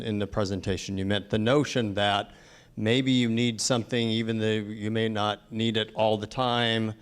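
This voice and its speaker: A man moderating a public forum who speaks in a friendly, halting way and often restarts his sentences. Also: on the low side, vocal fry.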